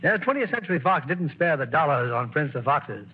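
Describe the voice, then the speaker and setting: A man's voice: a little high, big pitch swings, fairly quick, a little hiss or hum. A man hosting a radio drama and chatting with a guest in a polished, scripted presenter manner. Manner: polished, formal presenter delivery. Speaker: radio drama host and producer. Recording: dull 1940s radio broadcast.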